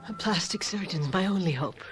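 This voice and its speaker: An actress playing a desperate, sharp-tongued middle-aged mother in a radio mystery drama, with urgent dramatic delivery. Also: on the low side, lively intonation, brisk, faint music behind, natural voice. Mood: distraught, urgent.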